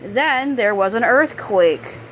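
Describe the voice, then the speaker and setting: A woman reading a children's book aloud, expressive on characters' lines and sometimes stumbling and correcting herself. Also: a little high, slight background noise, poor audio. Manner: halting reader, stumbles and corrects words. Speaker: storybook reader.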